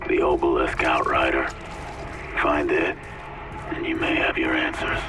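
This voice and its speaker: A man's voice: a bass voice, flat delivery, measured pace, over loud music. A man playing a cryptic, solemn altered wanderer in a video game, speaking in a portentous, formal way. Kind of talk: video game cutscene acting.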